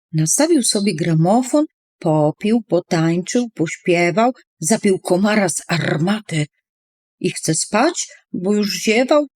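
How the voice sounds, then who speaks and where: A woman's voice: mid-range voice, darkish tone, dry recording, clean studio sound. A woman storyteller reading a children's poem expressively, with playful, dramatic emphasis on words.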